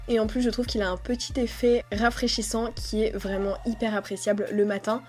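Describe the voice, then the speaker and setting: A young woman narrates in a casual, chatty voice-over, her voice stuffy and nasal from a cold. Her pitch sits at a medium height, and she talks in a relaxed way, with little pauses and hesitations. Soft music plays quietly behind her. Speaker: beauty vlogger. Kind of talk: voice-over narration of a skincare routine. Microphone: voice-over recorded separately.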